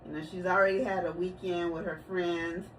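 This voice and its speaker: A woman's voice: fairly low pitch, little pitch movement, brisk. Chatty woman in her forties, distant mic. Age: 40s. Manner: chatty, storytelling, laughs easily. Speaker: vlogger. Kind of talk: casual family chat. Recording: distant mic, noisy room.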